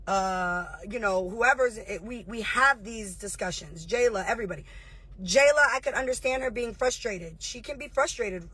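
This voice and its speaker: High, sharp-voiced woman ranting heatedly. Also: husky. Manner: confrontational, profane, rapid-fire. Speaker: reality show host and producer. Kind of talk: heated livestream rant.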